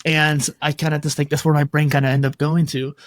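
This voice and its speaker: A male podcast host with a slightly raised pitch, speaking over a video call. He rambles easily, fills gaps with "like" and keeps the chat relaxed. The recording is dry and close. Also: a little fast, no room sound. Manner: rambling, conversational, frequent "like". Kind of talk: casual interview conversation. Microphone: video call microphone.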